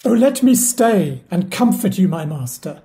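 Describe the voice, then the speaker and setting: A faithful steward pleading with a master in a Shakespearean audio play. The delivery is slow and soft-edged, humble and earnest in its grief. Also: female voice, lowish voice, big pitch swings. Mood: tender pleading. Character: loyal, grieving, devoted steward pleading with a master. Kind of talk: Shakespearean audio drama acting.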